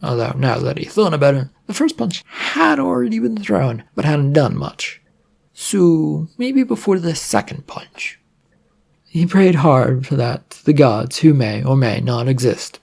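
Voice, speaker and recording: Audiobook narrator for adventure fiction. Gravelly, husky tone, mid-range pitch. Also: very animated, a little slow, a little mellow. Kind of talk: scripted narration of an adventure story.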